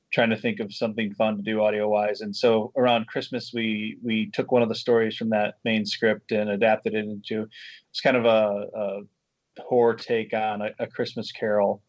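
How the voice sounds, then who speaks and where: A man being interviewed as a guest screenwriter and director, answering in a relaxed way with frequent ums and restarts. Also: fairly low pitch, dry recording, highs cut off. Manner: relaxed, many fillers and restarts.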